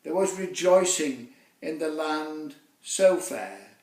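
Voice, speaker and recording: A man recites a children's story poem in a roomy, echoing space. He sits a little away from the microphone. His delivery is slow and deliberate, with theatrical storytelling phrasing. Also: fairly high pitch, expressive, darkish tone. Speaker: poet reading his own children's poem. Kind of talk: dramatic rhymed storytelling for children. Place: echoey room, away from the mic. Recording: roomy echo, distant microphone.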